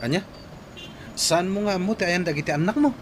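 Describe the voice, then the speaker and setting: A man's voice: high voice, fairly quick, slight background noise, natural voice. A man with an Ilocano Filipino accent playing a persistent, questioning man in a radio drama. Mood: insistent. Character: persistent, questioning man confronting an old acquaintance.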